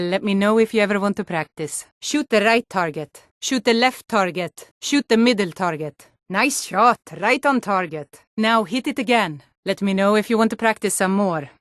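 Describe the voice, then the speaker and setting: A woman's voice: mid-range voice, normal speed, hardly any pauses, dry recording, clean studio sound, natural voice. A woman voicing a guarded, self-reliant young archery instructor in a video game, plain-spoken and composed. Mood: calm, instructive. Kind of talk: video game character dialogue.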